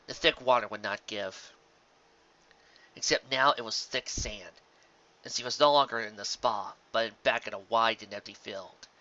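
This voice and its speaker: A man reading a fan fiction story aloud as narrator, in an expressive storytelling style. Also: on the low side, moderate pace, highs cut off. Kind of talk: expressive story narration with occasional casual asides.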